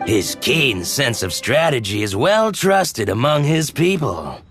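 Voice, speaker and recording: A genial, shrewd businessman in a video game. He speaks slowly and expansively, with lively intonation and a slightly pompous polish, over clear background music. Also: mid-range voice. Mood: confident. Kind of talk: video game character acting.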